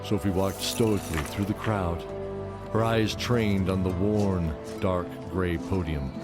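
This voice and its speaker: Deep-voiced male fantasy narrator. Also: steady tone, slow pace, over loud music. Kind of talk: scripted dramatic fantasy narration.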